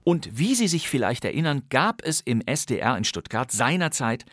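Male host, lively swooping pitch, playful theatrical delivery, vintage crime radio presenter, close dry recording. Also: normal speed, no echo. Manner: playful, theatrical, relishing old thriller titles. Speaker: podcast host.

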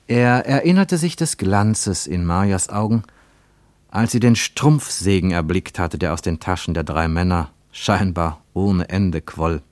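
A man reading scripted literary narration for radio, with measured, rhetorical and emphatic phrasing. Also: deep voice, darkish tone, no echo.

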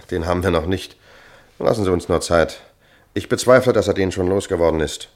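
An actor playing a seasoned, matter-of-fact police sergeant in a German crime radio play, measured, dryly cynical and authoritative. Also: male voice, low voice, natural voice. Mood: dry, cautioning.

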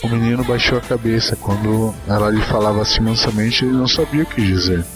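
A man's voice: lowish voice, fast talker. Man narrating a story over music. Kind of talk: dramatic literary narration.

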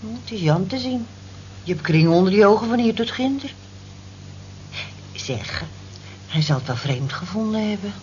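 A woman's voice: medium pitch, moderate pace, long pauses, natural voice. An actress playing a nosy, teasing female friend who chides and pries expressively in a radio drama. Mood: prying, teasing. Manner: prying, expressive, chiding. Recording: dull radio recording, faint noise.

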